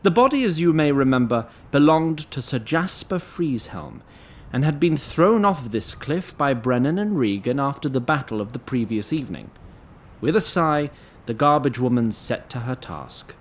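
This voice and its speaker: A man narrating an audio drama, reading scripted story in an animated, slightly wry manner. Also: medium pitch, normal speed, a little hiss or hum.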